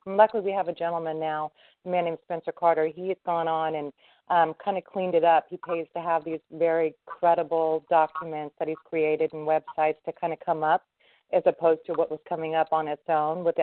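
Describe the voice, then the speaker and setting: A woman's voice: sits low, flat delivery, a little fast, dry recording. A woman hosting a call-in conference, moderating in a casual, conversational way over the telephone. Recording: muffled phone conference line.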